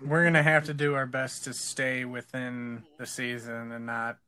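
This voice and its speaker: A man co-hosting a podcast in casual chat, measured and thinking aloud. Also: mid-range voice, level tone, sharp tone.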